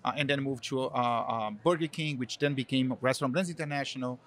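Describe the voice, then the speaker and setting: A man's voice: medium pitch, brisk, a bit bright. Middle-aged Brazilian man with a Brazilian accent, an interview guest who rambles loosely through his answers with plenty of fillers. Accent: Brazilian Portuguese. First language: Portuguese. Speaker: guest, marketing executive.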